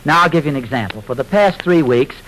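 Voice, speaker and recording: An actor playing an earnest, mild-mannered, slightly folksy husband in a 1940s radio sitcom. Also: male voice, mid-range voice, lively intonation, quick pace, fairly bright tone, natural voice. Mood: mild, explanatory. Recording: noisy vintage radio recording.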